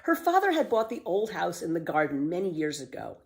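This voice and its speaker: A woman reading a children's book aloud as a storyteller, with expressive, emphatic scripted narration. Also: fairly low pitch, a little fast.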